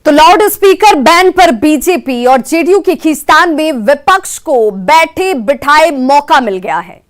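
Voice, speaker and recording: A woman presenting scripted news as an anchor. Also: high-pitched.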